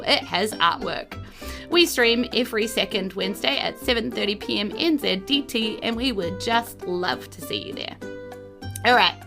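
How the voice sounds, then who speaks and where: A woman with a New Zealand accent hosting and narrating a tabletop game in an informal, animated storytelling manner. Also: a little high. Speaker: game master and host.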